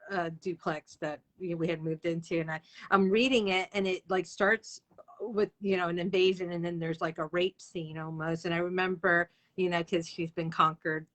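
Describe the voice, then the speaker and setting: A woman's voice: lowish voice, fairly bright tone. Chatty woman hosting a casual livestream. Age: 40s. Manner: informal, digressive, chatty. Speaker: interview host.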